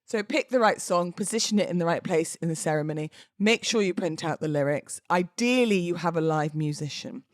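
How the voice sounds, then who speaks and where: A British woman hosting a podcast solo, talking in a casual, cheeky, expressive and informal way. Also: lowish voice, brisk, few pauses, no room sound, clean studio sound. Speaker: podcast host.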